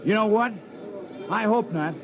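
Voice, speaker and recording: High-pitched, excitable nervous miner. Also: male voice, measured pace, muffled recording. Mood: nervous, humble. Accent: American. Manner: excitable, homespun. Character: nervous, self-deprecating lonely miner awaiting a bride. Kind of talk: 1950s American radio drama acting. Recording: old radio recording with crowd murmur.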